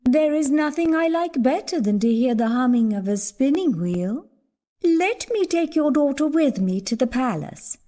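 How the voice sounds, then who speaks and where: A regal storybook queen, acted out by a man, gracious yet commanding. The voice is high and mellow, and each line comes slowly and deliberately. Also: heavily acted.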